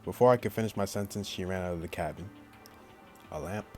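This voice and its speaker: A man's voice: deep voice, brisk, darkish tone. A man doing a let's play of a visual novel, reading the text aloud and tossing in slangy, jokey asides over game music. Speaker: gaming YouTuber. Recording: music behind the voice.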